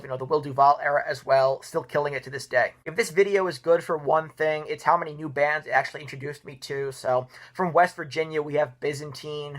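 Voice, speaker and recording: A man's voice: fairly high pitch, a bit bright. Chatty man with a tiring voice. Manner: chatty, peppered with "you know" and "right". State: voice wearing out, hoarse. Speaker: music reviewer host. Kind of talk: casual video review.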